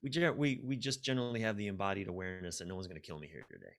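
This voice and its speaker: A man with a lowish voice talks as a coach being interviewed on a podcast. He explains his ideas in a reflective, halting way and leans on key words by repeating them. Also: lively intonation, vocal fry. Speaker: podcast guest, life and relationship coach.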